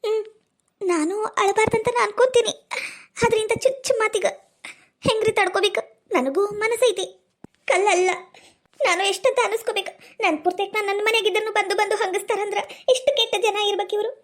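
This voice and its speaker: Aggrieved young wife played by a woman, very high voice, plaintive and resigned, North Karnataka accent, family drama. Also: fast. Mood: hurt, resigned. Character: wounded young childless wife, bitterly resigned. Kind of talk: amateur family drama skit.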